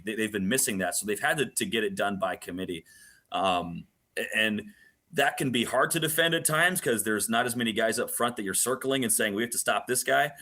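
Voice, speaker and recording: A man's voice: fairly low pitch, pushed and bright. A man speaking as a play-by-play announcer giving detailed, emphatic analysis in a sports radio interview.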